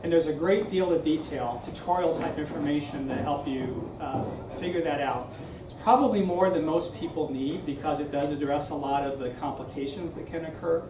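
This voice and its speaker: Man, explanatory public speaker, conversational, slightly high voice, distant mic, background noise. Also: moderate pace, roomy sound. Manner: explanatory, conversational, often seeks agreement with "right?". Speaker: speaker giving a civic talk. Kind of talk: informal public talk with audience questions. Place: room at a live meeting.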